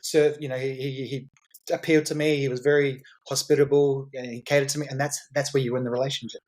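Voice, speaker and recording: A man's voice: medium pitch, flat delivery, fast, a little mellow. A man as a guest on a podcast, explaining his business in a confident, salesy way with frequent repeats and restarts. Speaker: guest entrepreneur.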